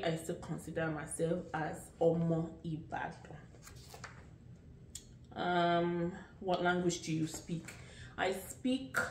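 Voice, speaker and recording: A woman in her late thirties with a Nigerian accent talks slowly through a casual vlog, her voice sitting a little low. She rambles and restarts, relaxed and chatty. The sound is roomy and echoey, with the mic some distance away. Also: slight background noise. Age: late 30s. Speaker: vlogger. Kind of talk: casual get-to-know-me vlog.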